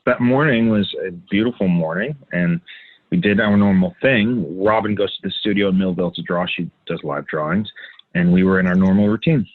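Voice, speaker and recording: On a thin, phone-like connection, a man acts out a quiet husband giving sober testimony in a documentary play. His voice is deep and husky. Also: normal speed. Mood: sober recollection. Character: quiet husband recalling a shattered routine morning. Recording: tinny phone-like video call audio.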